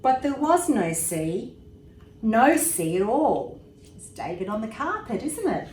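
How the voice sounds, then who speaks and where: A woman reads a children's bedtime story at a slow, unhurried pace. Her delivery is expressive, with small asides about the pictures. The room gives her voice noticeable echo, with faint noise behind it. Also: fairly high pitch. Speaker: storybook reader, emergency services commissioner. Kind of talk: bedtime story read aloud to young children.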